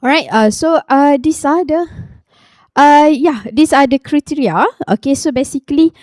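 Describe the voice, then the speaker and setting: A Malaysian-accented woman researcher giving a conference talk, halting, full of fillers, restarts and repeated "okay". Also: high-pitched, a little mellow, no echo, studio quality.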